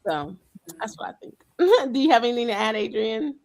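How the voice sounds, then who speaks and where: A woman's voice: fairly high pitch, animated, brisk, darkish tone. Chatty woman hosting a group chat. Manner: chatty storyteller, acts out dialogue. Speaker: host.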